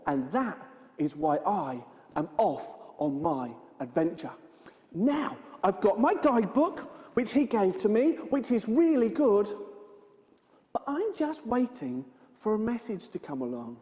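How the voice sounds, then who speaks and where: A woman playing an excitable, scatty, friendly adventurer in an interactive children's stage show, exaggerated and playful as she talks straight to the children. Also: mid-range voice, unhurried. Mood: eager, storytelling. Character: excitable, scatty, friendly adventurer setting off by hot air balloon. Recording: echoey hall with long reverb.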